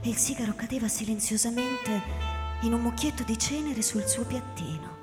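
A woman giving theatrical, expressive literary narration for a radio drama. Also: a little high, normal speed. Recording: echoey, long reverb, music underneath. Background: music.

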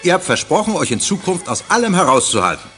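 A man's voice: high voice, big pitch swings, fast talker, fairly bright tone, soft background music. An actor playing an exasperated, ironic, middle-aged father in a German children's audio drama. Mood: ironic, scolding.

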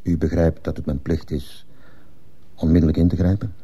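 A man playing a stern, formal school headmaster in a radio drama, speaking in a measured, officious way. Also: rumbling low, little pitch movement, warm tone, slightly rough. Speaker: actor in a radio play. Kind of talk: Dutch-language radio drama acting.

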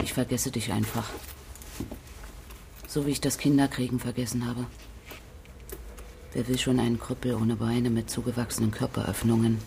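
Male actor, creaky voice, bitter, wounded, harsh, radio drama, faint ambience. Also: mid-range voice, flat delivery, normal speed, long pauses, background noise, close to an everyday voice. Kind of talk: German radio drama acting.

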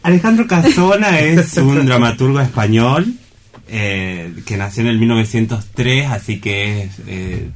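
A man with an Argentine accent talks as a panelist in a casual discussion, haltingly rephrasing his points as he goes. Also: mid-range voice, lively intonation. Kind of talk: casual round-table discussion.

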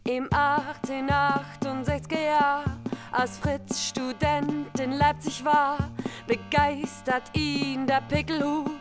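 Casting: playful rhyme narrator, high voice, slow declaiming sing-song over music. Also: female voice, level tone. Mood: playful, mock-epic. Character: playful female balladeer telling comic rhymes. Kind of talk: rhymed narration in radio play.

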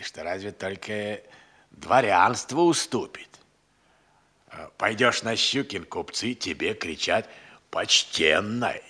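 An actor playing a hungry, idle, crafty manservant in an audio play, grumbling and sighing in folksy, speech. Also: male voice, lowish voice, fairly bright tone, heavily acted. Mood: relishing, boastful. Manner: folksy, drawling, sighing, grumbling, relishing his words. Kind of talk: theatrical audio-play monologue.

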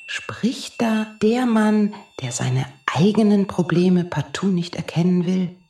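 A woman playing a sharp-tongued, seductive space traveler in an audio drama, mocking and sometimes dropping to a near whisper. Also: fairly low pitch, slow, slight background noise. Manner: mocking, breaks into whispery tones. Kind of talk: scripted audio drama acting. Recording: echoey room.

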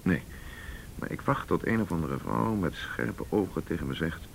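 A dogged senior detective in a radio drama. His voice is low and gravelly with animated swings of pitch, assured and in command. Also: moderate pace, exaggerated voice. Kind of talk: Dutch radio play acting. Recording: noisy radio play recording with rumble.

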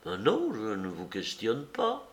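A man's voice: lowish voice, wildly swooping pitch, unhurried, a bit bright, exaggerated voice. A man reading aloud and voicing a young male clerk who speaks in a dry, offhand, dismissive way. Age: young adult.

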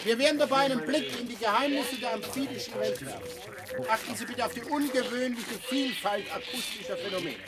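Officious high-voiced tour guide. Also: male voice, brisk, a loud crowd. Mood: lecturing, showy. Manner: declamatory, lecturing, sternly commanding. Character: officious, pedantic male tour guide of an animal paradise. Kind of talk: radio play acting.

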